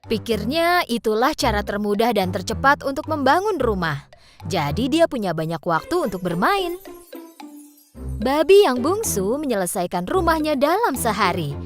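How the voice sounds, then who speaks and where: A woman's voice: fairly high pitch, sing-song, moderate pace. A woman narrating a scripted children's story as a storyteller. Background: music.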